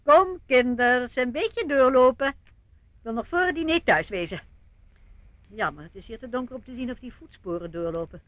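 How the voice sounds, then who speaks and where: A woman's voice: fairly high pitch, animated, moderate pace, no room sound, dull audio, close to an everyday voice. An actress playing a cheerful, eccentric elderly aunt in a radio play, breezy and fondly condescending, with chuckles in her speech. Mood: brisk, teasing.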